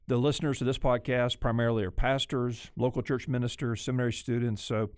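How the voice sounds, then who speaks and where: A man hosting a podcast interview in a measured, formal way. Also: medium pitch, level tone, clear, resonant tone, no room sound, studio quality. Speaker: podcast host.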